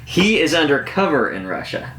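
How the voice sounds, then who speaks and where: A man's voice: a little high, big pitch swings, moderate pace, a bit bright. A man hosting an unboxing video in casual, rambling chat, often interrupting himself. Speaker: host of a collectibles unboxing channel. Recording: echoey room, some background noise.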